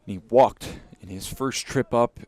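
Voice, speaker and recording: A man's voice: mid-range voice, unhurried, darkish tone. A man giving analysis as a color commentator on a live baseball broadcast.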